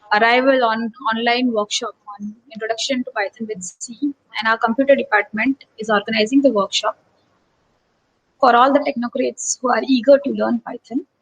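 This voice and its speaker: Woman lecturing online, higher voice. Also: breathy edge, rough recording. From Gujarat, India. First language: Gujarati. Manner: earnest teacherly tone with rhetorical questions. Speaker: associate professor leading an online workshop.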